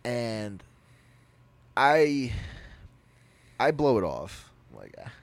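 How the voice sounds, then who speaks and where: A man's voice: mid-range voice, drawn-out words, no room sound. Young man telling a story animatedly. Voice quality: naturally loud voice. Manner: animated, rambling, with frequent restarts. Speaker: podcast co-host. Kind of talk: casual storytelling.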